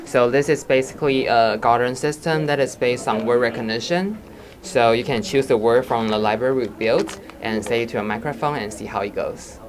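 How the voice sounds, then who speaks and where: A woman's voice: extremely low pitch, fairly quick, crowd noise. A woman from Taiwan explaining her installation, hesitant and restarting words. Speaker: student presenting her project. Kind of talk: exhibition demo explanation.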